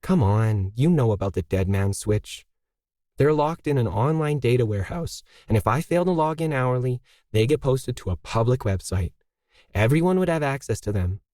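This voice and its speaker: A stubborn, tired woman artist explains herself insistently in a science fiction audiobook, as a male narrator plays her. The delivery is medium in pitch and dry. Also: lively intonation, normal speed, dark tone, no echo, lightly acted. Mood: weary, insistent.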